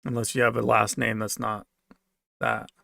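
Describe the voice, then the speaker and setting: American man chatting casually, creaky voice. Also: lowish voice, completely flat delivery, normal speed, sharp tone, no echo, studio quality. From United States, raised moving around in a military family. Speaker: livestream host answering viewer comments. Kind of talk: casual off-the-cuff chat with viewers.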